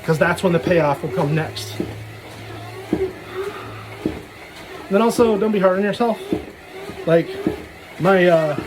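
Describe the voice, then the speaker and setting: A man's voice: high-pitched, slow, long pauses, noisy background. A man giving a casual, rambling solo vlog monologue in frank, slangy, sweary talk. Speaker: solo vlogger.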